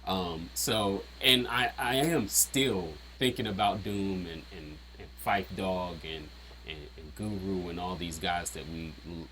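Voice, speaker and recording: A man with a deep voice casually breaking down his own rap lyrics, rambling with lots of "um" and "you know". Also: fairly bright tone, slight background noise, rough recording. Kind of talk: casual explanatory chat.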